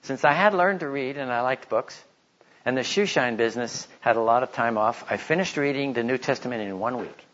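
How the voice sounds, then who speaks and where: A man giving informal religious teaching, telling stories and reading aloud in an animated way. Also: mid-range voice, brisk. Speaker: Bible teacher giving a talk. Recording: slightly dull, lo-fi recording.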